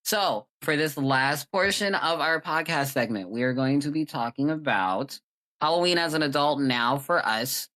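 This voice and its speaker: A young adult woman with a strikingly deep voice, hosting a podcast. She talks in loose, chatty banter and is expressive and gossipy. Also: normal speed. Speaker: podcast host.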